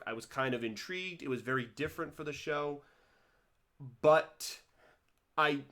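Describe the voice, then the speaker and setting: A man talks through a casual commentary vlog in a slightly high voice. He rambles and restarts his sentences often. His pitch and loudness swing in animated bursts. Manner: rambling, with frequent restarts and stumbles. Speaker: vlogger reviewing a TV series.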